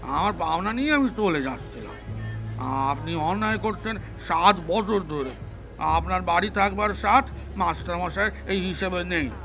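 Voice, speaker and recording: A man's voice: high voice, moderate pace, vocal fry, over music. A man playing an earnest, stubborn household servant in a Bengali audio story, deferential yet insistent and plaintive. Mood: aggrieved, insistent.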